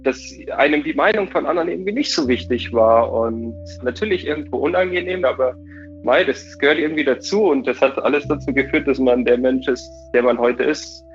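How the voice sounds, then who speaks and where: A man's voice: mid-range voice, a little fast, soft background music. A young man chatting casually as a guest, speaking in an emphatic, slangy way. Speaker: interview guest on a call. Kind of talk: casual chat. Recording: dull, lo-fi call audio.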